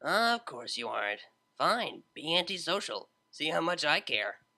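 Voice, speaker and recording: Play a laid-back, teasing young mare DJ as the story's reader puts her on: bright and a little gravelly, lively up and down, slangy and blunt, a touch back from the mic. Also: mid-range voice, unhurried, exaggerated voice. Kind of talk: fan fiction reading, character voice.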